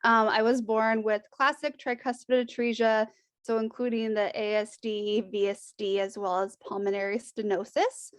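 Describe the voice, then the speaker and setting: A woman in her thirties from Washington State, a teacher, explaining clearly in a casual podcast chat. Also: fairly high pitch, level tone, normal speed, dry recording. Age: 30s.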